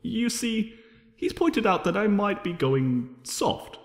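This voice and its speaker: A theatrical, flippant creature of chaos acted by a man talks in wild leaps of pitch. He is glib, playful and hammy, a cartoon trickster in a reverberant storybook. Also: sing-song, slow, exaggerated voice. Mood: hesitant, chatty. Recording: long reverb.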